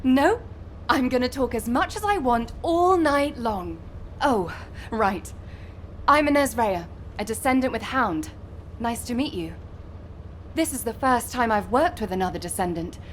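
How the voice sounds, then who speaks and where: A woman voicing a cheerful, talkative young female soldier in a video game, teasing and expressive. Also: on the high side, measured pace, a little hiss or hum. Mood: upbeat, chatty. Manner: chatty, playfully exasperated.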